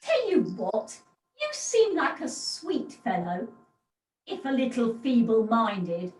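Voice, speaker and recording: A woman's voice: a little high, sing-song, slow pace, a little mellow. A woman playing a grand, patronising pantomime fairy, with theatrical delivery, on an echoey, distant home video-call recording. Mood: helpful, grand. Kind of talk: amateur pantomime acting.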